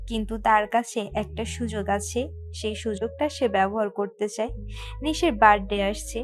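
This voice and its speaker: A woman reading out scripted story narration as the narrator of an audio tale. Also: medium pitch.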